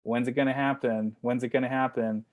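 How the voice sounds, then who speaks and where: A man's voice: mid-range voice, fairly even intonation, brisk, a little mellow. A man talking casually and emphatically as a writer-director on a video call Q&A, swearing freely and peppering his talk with "like". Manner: informal, sweary, emphatic, says "like" often. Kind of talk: casual panel Q&A chat.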